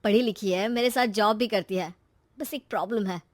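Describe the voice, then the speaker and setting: A woman's voice: medium pitch, brisk, no echo, natural voice. A woman voicing an earnest, trusting young man, the brother in a storybook tale, explaining himself.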